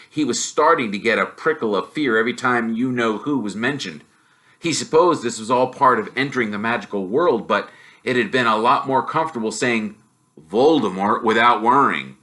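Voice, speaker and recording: A man reading a children's novel aloud as an expressive storyteller. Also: lowish voice. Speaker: storybook reader, teacher.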